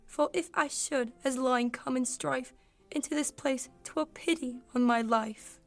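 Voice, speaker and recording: A young woman playing a timid joiner who earnestly acts a gentle lion, reciting hesitantly in a radio play of Shakespeare. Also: high voice, unhurried, faint music behind. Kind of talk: 1940s radio play acting of Shakespeare verse.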